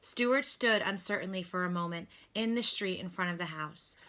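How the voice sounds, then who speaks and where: A woman reading a children's storybook aloud like a teacher, with deliberate, instructive delivery. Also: fairly low pitch, sharp tone. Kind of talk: read-aloud storybook narration with lesson prompts.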